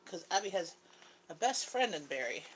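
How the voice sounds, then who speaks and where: A woman's voice: on the low side, very animated, moderate pace, a little hiss or hum, low-quality recording. A middle-aged Canadian woman chatting casually with viewers on a live stream, talkative and giggly. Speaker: live stream host, mother. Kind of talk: casual live chat with viewers while cooking.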